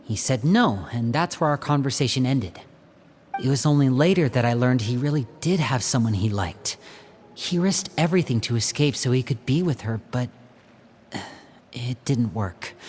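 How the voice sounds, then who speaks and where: A man voicing a young stage magician in a game who tells stories smoothly and turns grave when he speaks of the rules. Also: darkish tone, a little hiss or hum, lightly acted. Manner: smooth storyteller, turns serious and low. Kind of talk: game voice acting.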